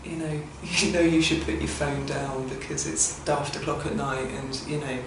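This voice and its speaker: A woman's voice: sits low, fairly even intonation, normal speed, background noise. Chatty woman in her thirties, echoey distant mic. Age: 30s. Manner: chatty, reflective, laughs at herself. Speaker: interviewee. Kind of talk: personal documentary interview.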